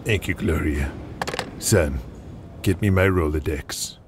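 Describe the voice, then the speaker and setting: A gruff old wrestling boss acted by a man with a low voice. He is stern and authoritative, a controlling promoter in an audio drama, set against clear city noise. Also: slow, heavily acted. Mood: subdued. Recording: noisy city ambience behind the voice.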